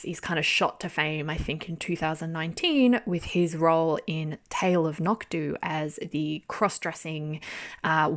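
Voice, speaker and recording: Young woman, lower register, casual rambling reviewer with self-aware laughs. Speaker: drama reviewer.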